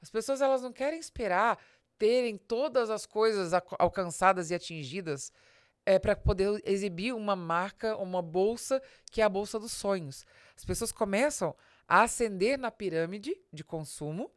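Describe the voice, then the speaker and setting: A woman's voice: medium pitch, moderate pace, no room sound, clean studio sound. A woman with a Brazilian accent giving an explanatory business talk as a podcast host, speaking straight to the listener in a didactic way with emphatic stresses.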